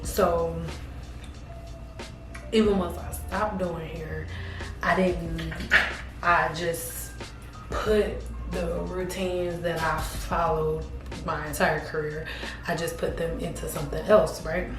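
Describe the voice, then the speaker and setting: A woman's voice: on the low side, fairly even intonation, takes it slowly, long pauses, echoey room, recorded from a distance, music in the background, rough recording. A woman in her thirties talking casually to her camera, chatty and rambling with lots of fillers. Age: 30s. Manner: chatty, repeats herself, frequent fillers. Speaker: vlogger.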